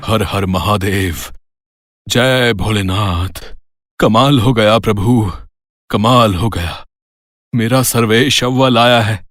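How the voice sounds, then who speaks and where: A man's voice: fairly low pitch, takes it slowly, a bit bright, no echo, studio quality. A man playing a kindly, devout old grandfather in a devotional cartoon story, speaking in earnest, reverent prayer. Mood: joyful gratitude.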